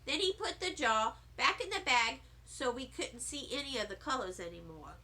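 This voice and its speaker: Animated, high-pitched woman reading a story. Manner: animated, deliberate. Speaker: storybook reader. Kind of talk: expressive children's story narration.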